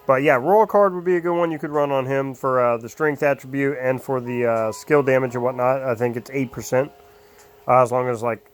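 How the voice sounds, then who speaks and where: A man casually talking through a mobile game character build, rambling with lots of ums and uhs, his voice a little hoarse. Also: medium pitch. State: slightly hoarse, losing his voice. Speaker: mobile game guide creator. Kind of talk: casual game guide commentary.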